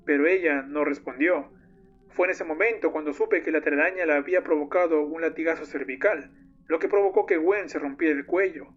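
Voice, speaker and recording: A solemn young man, acted by a male narrator recounts his friend's monstrous downfall as scripted horror diary entries. His voice sits slightly high, grieving and vengeful, in a muffled lo-fi recording with music behind it. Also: close to an everyday voice. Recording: muffled lo-fi audio under loud music.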